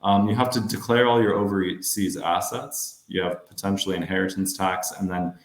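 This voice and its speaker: An American man in his thirties, a financial adviser giving a webinar presentation in a steady, explanatory way with some fillers. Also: sits low, normal speed, reverberant. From United States.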